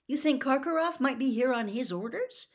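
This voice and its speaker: A woman's voice: sits high, lively intonation, moderate pace, voice pushed up. A woman voicing an anxious teenage boy wizard, asking alarmed questions in a raised voice.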